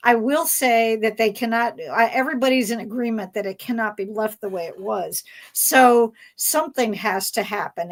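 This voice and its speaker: A woman hosting a casual fan panel, talking in a hesitant, rambling way as she searches for words. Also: mid-range voice. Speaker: panel host.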